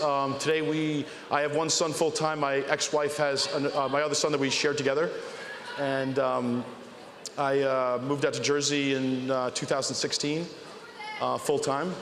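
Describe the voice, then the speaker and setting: A man, telling his life story casually and haltingly on a panel. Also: medium pitch, a bit bright, a little hiss or hum. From New York (Bronx). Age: 40s. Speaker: panel guest. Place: convention panel stage, indoors. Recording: echoey large hall, live event ambience.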